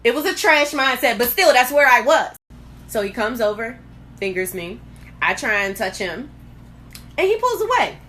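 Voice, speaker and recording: A woman telling a personal story casually and candidly, blunt and profane, with lots of slang and animated acting-out of her reactions. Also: a little high, normal speed, a little hiss or hum. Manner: animated, acts out quoted reactions. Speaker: storyteller hosting own vlog.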